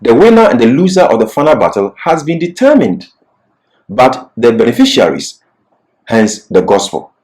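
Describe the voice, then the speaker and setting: A man presenting an expository Bible lesson as a teacher, speaking in emphatic, deliberate short phrases. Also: medium pitch, huge pitch swings, darkish tone.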